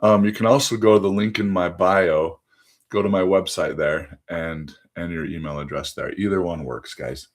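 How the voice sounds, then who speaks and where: Male host, low voice, slightly hoarse, chatty direct-to-viewer pitch on a phone stream. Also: steady tone, moderate pace. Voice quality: conversational, addresses viewers directly, frequent fillers. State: voice going hoarse, under the weather. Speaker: livestream host. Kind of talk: casual sales pitch on a phone livestream.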